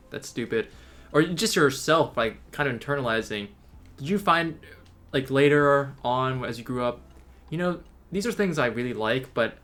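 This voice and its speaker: A man's voice: medium pitch, a bit bright. A young man co-hosting a casual podcast, joking around and asking long, rambling questions. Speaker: podcast co-host.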